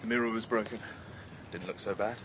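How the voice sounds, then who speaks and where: A man's voice: fairly low pitch, fairly even intonation, fairly quick, a bit bright, slight background noise, natural voice. An actor playing a brooding, resolute young Englishman in a radio drama, with a grave, clipped, intimate delivery. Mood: subdued. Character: brooding young man, resolute, newly hardened.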